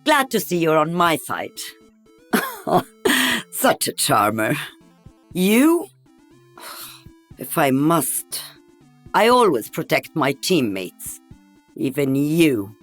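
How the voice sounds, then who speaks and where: Game role: older woman sniper veteran, dry teasing wit, deep female register, animated intonation. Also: very slow, pauses often, faint music behind, exaggerated voice. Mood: wry, confident. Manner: wry, teasing.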